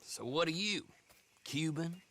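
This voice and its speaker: An actor playing a cocky, shifty backwoods horse dealer with a Southern drawl and a mocking, folksy manner in a game cutscene. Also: male voice, fairly high pitch, a little slow, bright, forceful tone, slight background noise. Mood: sly greeting. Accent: American South. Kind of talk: video game cutscene acting.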